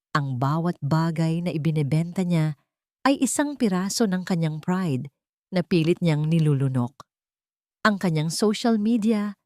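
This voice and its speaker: A woman narrating a scripted drama story in a measured, deliberate way with dramatic phrasing. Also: low voice, dark tone, dry recording, clean studio sound.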